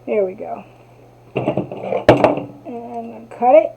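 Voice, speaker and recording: A woman's voice: on the high side, animated, takes it slowly, gravelly, very noisy. A woman from New Jersey with a Jersey accent, casually demonstrating a craft and chatting to herself as she works. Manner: chatty, talks to herself while working. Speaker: craft tutorial host. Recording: tinny, phone-like audio.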